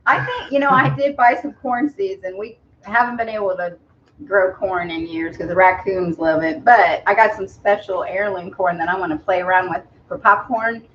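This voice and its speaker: A woman's voice: medium pitch, normal speed, dull audio, slight background noise. Laughing woman with mixed southern accent. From not from the South. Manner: laughs often, easygoing. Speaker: co-host of a homestead livestream. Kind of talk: casual chat.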